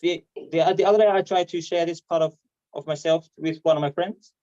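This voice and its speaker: A man from Vietnam with a Vietnamese accent and a stutter, performing stand-up comedy haltingly over a video call. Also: on the high side, fairly even intonation, mellow, no room sound. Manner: hesitant, halting delivery with repeated words. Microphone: video call microphone. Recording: dull, muffled online call audio.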